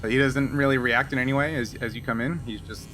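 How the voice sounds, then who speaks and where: A man working as game master, narrating a tabletop roleplaying game in an informal, halting way. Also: medium pitch, fairly even intonation, fairly bright tone, a little hiss or hum.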